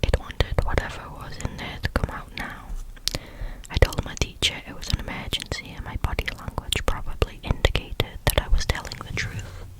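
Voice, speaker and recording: A person speaking entirely in a breathy, unvoiced whisper, reading stories aloud in a casual, conversational ASMR style. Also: normal speed, poor audio. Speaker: host reading listeners' or online stories aloud.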